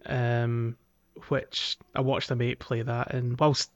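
A man's voice: medium pitch, level tone, slow. Man chatting, dull lo-fi sound. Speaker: podcast co-host. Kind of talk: casual chat. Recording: dull lo-fi microphone audio.

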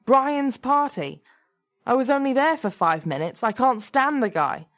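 A woman's voice: mid-range voice, normal speed, close to an everyday voice. Woman acting an agitated, confrontational male office boss. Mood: exasperated. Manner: demanding, confrontational, emphatic.

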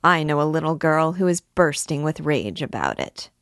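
A woman's voice: low-pitched, big pitch swings, normal speed, a bit bright, dry recording, studio quality, exaggerated voice. A woman voicing a vain, amorous young male captain in an audiobook, gallant, boastful and effusive. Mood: boastful, wooing. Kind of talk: audiobook character dialogue.

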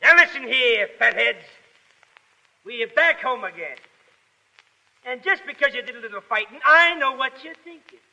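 A man's voice: extremely high pitch, lively intonation, moderate pace, long pauses, exaggerated voice. A man playing a tough, sarcastic Foreign Legion sergeant barking orders in a 1930s radio drama. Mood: stern, sarcastic. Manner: gruff, shouted military commands. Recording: dull vintage 1930s radio recording.

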